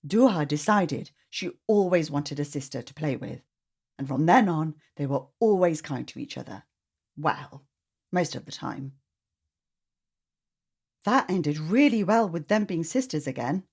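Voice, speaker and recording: A woman reading a storybook aloud to children in an expressive narrating style. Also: deep voice, a little slow, husky.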